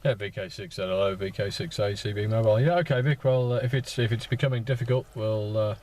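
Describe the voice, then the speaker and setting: A man's voice: fairly low pitch, quick pace, darkish tone. A man making casual, polite, slightly hesitant conversation as a radio operator in a vehicle. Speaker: mobile amateur radio operator. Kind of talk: casual two-way radio chat. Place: inside a parked four-wheel drive. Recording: faint radio static in a car.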